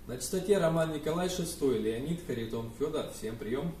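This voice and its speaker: A man's voice: fairly high pitch, animated, a little fast, dark tone. A young man working as an amateur radio operator, making contacts and spelling out call signs. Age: young adult. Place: small indoor room with radio desk and computers. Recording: echoey room, distant mic, faint noise.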